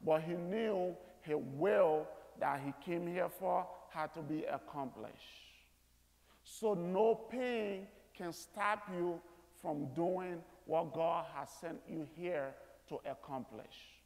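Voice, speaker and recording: A man in his thirties preaching a sermon from an auditorium stage in an earnest, deliberate manner. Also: on the high side, vocal fry, darkish tone. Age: 30s. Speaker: guest chapel speaker. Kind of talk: sermon, preaching to a school chapel. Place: large auditorium stage, indoors. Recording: echoey auditorium with long reverb.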